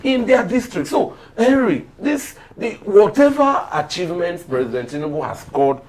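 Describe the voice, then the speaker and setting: A man's voice: sits high, sing-song, moderate pace. A man with a Nigerian accent speaks on a political discussion panel. He tells his points like stories, emphatically, stopping and repeating phrases to drive them home. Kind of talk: political discussion, storytelling commentary.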